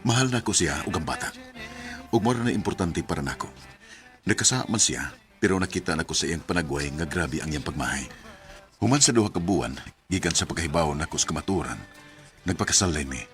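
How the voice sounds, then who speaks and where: A man's voice: deep voice, lively intonation, fairly quick, a bit bright. An actor playing a wounded, devoted young man in a radio drama, with a Cebuano Filipino accent. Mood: reflective. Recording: music bed behind the voice.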